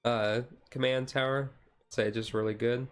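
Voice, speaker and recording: Male streamer, casual and rambling hobbyist with a slightly tired voice, middle-pitched, over background music. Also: steady tone, measured pace, smooth. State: voice tiring. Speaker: streamer, card game hobbyist. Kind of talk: casual deck walkthrough, chill ASMR stream.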